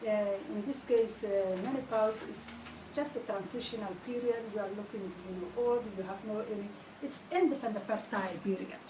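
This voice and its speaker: A woman's voice: mid-range voice, normal speed, few pauses, echoey room, distant mic, background noise. A woman from Somalia, a guest on a radio panel who talks earnestly and often restarts her phrases. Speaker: studio guest.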